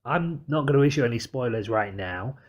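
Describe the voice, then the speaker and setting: A man's voice: mid-range voice, lively intonation, a little fast. A man hosting a podcast, chatting casually in a wordy, emphatic, effusive way. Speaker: podcast host. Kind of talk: casual chat.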